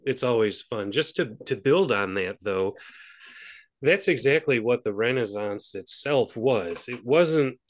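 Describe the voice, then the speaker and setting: A man giving a measured explanation, clearing his throat now and then and restarting phrases. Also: medium pitch, expressive. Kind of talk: thoughtful explanation.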